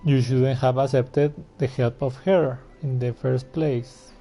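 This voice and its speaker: A man's voice: medium pitch, steady tone, takes it slowly, warm tone, soft background music. A man giving casual, informal, sweary commentary while he plays and reacts to a video game. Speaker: gamer playing and reacting on video.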